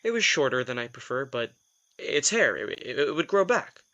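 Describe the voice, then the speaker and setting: A man's voice: mid-range voice, very animated, moderate pace, bright, forceful tone, muffled recording. A man acting a reluctant vampire in an audio drama, a sardonic, put-upon office worker who tells things in a wry, hesitant, self-deprecating way. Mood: animated storytelling.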